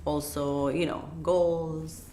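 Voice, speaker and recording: A woman being interviewed as a fitness blogger, speaking in a relaxed way with frequent you-knows. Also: sits low, slow pace, roomy sound, slight background noise. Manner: relaxed, uses fillers like you know. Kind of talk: casual interview.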